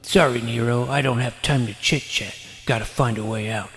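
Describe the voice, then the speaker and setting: An actor playing a nerdy middle-school boy who narrates his own superhero exploits in a dry, self-important, deadpan way. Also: medium pitch, moderate pace, vocal fry, husky, dry recording, faint music behind. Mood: earnest. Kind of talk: scripted audio drama narration.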